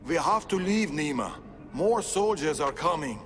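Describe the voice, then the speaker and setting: A man's voice: fairly high pitch, animated, normal speed, big-room sound, a little hiss or hum, close to an everyday voice. An actor playing a stern, protective older father in hiding in a video game cutscene. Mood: stern, urgent. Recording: music behind the voice.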